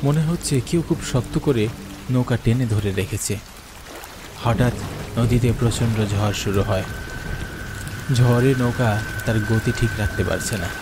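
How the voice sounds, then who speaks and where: A man's voice: mid-range voice, a little slow, long pauses. Theatrical male horror narrator over rain. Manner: theatrical, earnest. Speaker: story narrator. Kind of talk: dramatic scripted first-person horror story narration. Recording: clear rain noise behind the voice. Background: falling rain.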